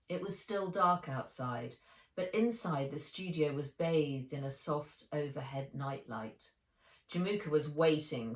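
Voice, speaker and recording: A woman's voice: sits low, fairly bright tone. A woman narrating a children's adventure novel as an audiobook, expressively and with dramatic emphasis. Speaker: author reading own book aloud. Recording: echoey room.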